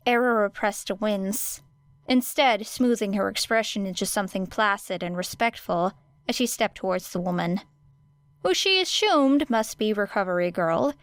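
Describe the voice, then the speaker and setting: A woman's voice: mid-range voice, moderate pace, no room sound. A woman reading scripted story narration as an audiobook narrator.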